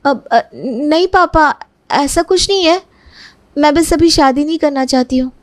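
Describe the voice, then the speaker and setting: A woman's voice: sits high, a little slow, no echo, voice pushed up. A woman voicing a pleading, lovelorn young woman in her twenties in a cartoon family story. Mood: troubled. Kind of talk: cartoon moral-story dialogue.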